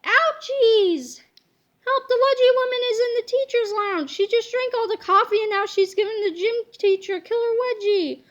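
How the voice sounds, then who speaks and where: A woman's voice: very high-pitched, moderate pace, muffled recording, pitched above the performer's own voice. A woman voicing a panicky, melodramatic bystander in a comic-book story, crying out in alarm.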